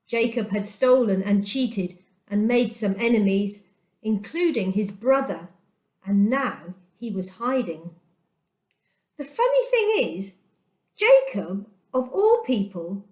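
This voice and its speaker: A woman tells a children's Bible story in a fairly high, very animated voice. She takes her time, pausing dramatically for emphasis like someone reading aloud to young listeners. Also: reverberant.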